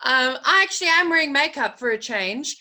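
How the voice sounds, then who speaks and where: Woman, bright voice, enthusiastic, team call host, video call. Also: high voice, animated, fairly quick. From South Africa. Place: guest house room.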